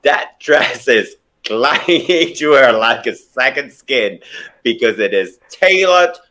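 A man's voice: medium pitch, animated, takes it slowly, sharp tone. A man with a German accent, a drag queen guest on a video call, trading campy insults with laughter. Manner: sassy, laughing, campy delivery of example insults. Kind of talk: playful video call chat.

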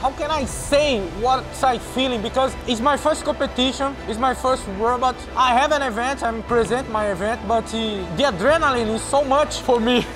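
A man from Brazil with a Brazilian Portuguese accent and Portuguese as his first language. He speaks halting, earnest English as a robot competitor giving a casual interview. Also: very high voice, over music, rough recording. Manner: halting non-native English, earnest and excited.

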